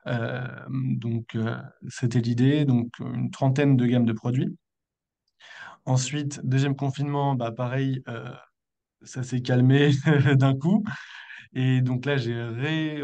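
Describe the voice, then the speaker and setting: A man's voice: mid-range voice, creaky, warm tone. A man in his thirties, an entrepreneur telling his story casually as a podcast guest, informal and a little hesitant. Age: 30s. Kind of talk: casual spoken account in an interview.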